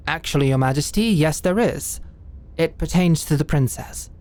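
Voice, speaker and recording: Woman acting a lady knight, deep for a woman, formal n measured, guarded, fantasy audio drama. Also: darkish tone, lightly acted. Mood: guarded, deferential.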